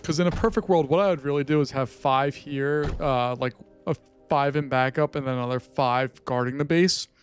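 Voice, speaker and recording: A man with a hoarse, fading voice giving casual commentary on his own strategy game, chatting to his units as he plays. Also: medium pitch, normal speed, hardly any pauses, faint music behind. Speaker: gaming channel host.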